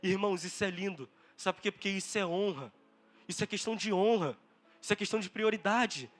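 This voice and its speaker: A man's voice: high voice, a little fast, fairly bright tone. A young Brazilian man giving an impassioned, testimonial sermon in an earnest, emphatic manner, repeating phrases and asking rhetorical questions. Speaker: preacher at a church conference.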